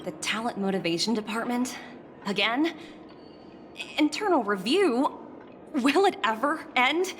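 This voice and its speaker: Animated, formal young executive. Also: female voice, a little high, unhurried, long pauses, background noise. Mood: exasperated. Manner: formal and deferential. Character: young female corporate executive, polite, sharp, easily exasperated. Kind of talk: video game voice acting. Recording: background music behind voice.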